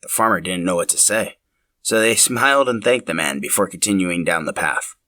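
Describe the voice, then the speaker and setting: A man's voice: deep voice, animated, brisk, sharp tone, no room sound, studio quality. A man reading scripted story narration as the narrator of a podcast.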